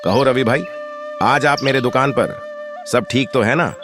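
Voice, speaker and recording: A man's voice: medium pitch, measured pace, music in the background, lightly acted. A man voicing a friendly, persuasive bird shopkeeper in a children's cartoon. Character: friendly male kite shopkeeper selling seed.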